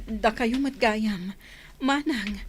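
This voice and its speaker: A woman's voice: fairly high pitch, slow pace, slight background noise. A middle-aged woman with an Ilocano accent acting an emotional village mother in a radio drama.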